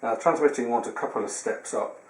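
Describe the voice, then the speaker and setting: A man's voice: medium pitch, quick pace. A man giving an informal hands-on product tutorial, explaining step by step in a slightly hesitant way. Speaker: product demonstrator. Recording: roomy echo with background hiss.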